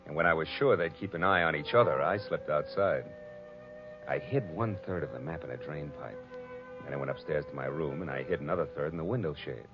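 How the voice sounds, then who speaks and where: An actor playing a tough, wisecracking American private detective with a sardonic, clipped manner in 1940s radio style. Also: male voice, extremely low pitch. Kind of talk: 1940s radio acting, hard-boiled first-person narration. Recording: dull old radio recording with music.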